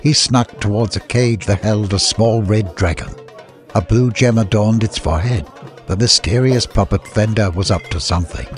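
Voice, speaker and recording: A man's voice: lowish voice, moderate pace, faint music behind. A man reading a scripted adventure story as an audiobook narrator.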